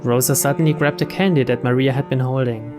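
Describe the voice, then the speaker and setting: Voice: medium pitch, fairly even intonation, fast. A narrator giving a scripted reading of a story from a visual novel. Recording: voice over clear string music.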